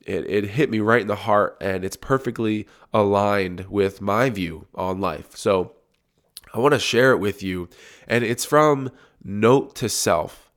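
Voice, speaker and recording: A young man talks alone into his podcast mic, his head cold making his low voice sound deep and resonant. He speaks in a relaxed, reflective way and often restarts words as he gathers his thoughts. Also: measured pace. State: congested with a cold. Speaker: podcast host. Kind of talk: solo podcast monologue. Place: home recording room.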